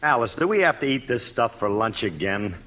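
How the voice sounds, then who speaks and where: A man's voice: mid-range voice, sing-song, fairly quick, sharp tone. An actor playing an exasperated, sarcastic husband and father in a 1950s radio sitcom. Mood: complaining. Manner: griping, sarcastic delivery with malapropisms. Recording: noisy old 1950s radio recording.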